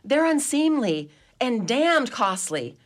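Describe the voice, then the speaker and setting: A woman's voice: on the high side, very animated, slow pace. A woman playing a dignified, imperious wealthy mistress of an old mansion in a comedy radio play, with a grand, formal, theatrical way of talking. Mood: scolding, imperious.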